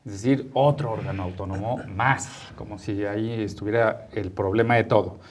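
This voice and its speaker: A man's voice: on the low side, fairly even intonation, normal speed. A man with a Mexican accent hosting a conversational panel interview, often rephrasing his questions as he goes. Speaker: interviewer, talk show host.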